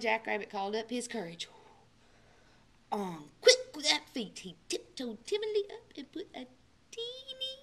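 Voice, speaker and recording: A woman storyteller reads for children in a high, bright voice. She is theatrical and emphatic, taking her time and swooping widely in pitch, sometimes dropping to a hush. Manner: theatrical, emphatic, with hushed dramatic drops. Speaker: librarian storyteller. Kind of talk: animated children's storybook narration.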